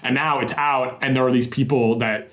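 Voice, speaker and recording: A man's voice: mid-range voice, steady tone, moderate pace, a bit bright. A young man hosting a casual podcast interview, chatty and rambling with lots of fillers and restarts. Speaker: podcast host.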